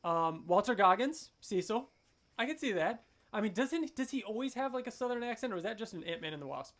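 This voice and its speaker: A man co-hosting a podcast, chatting casually and with excitement, thinking aloud and stumbling over hard names. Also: high-pitched, a little fast. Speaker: podcast co-host. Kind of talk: casual chat.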